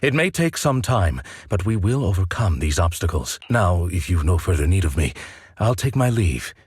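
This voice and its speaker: A man's voice: sits low, sing-song, moderate pace, darkish tone, breathy edge, heavily acted. An actor playing a polite, composed male butler in a video game, speaking formally and reassuringly. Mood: courteous, composed. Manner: formal, courteous.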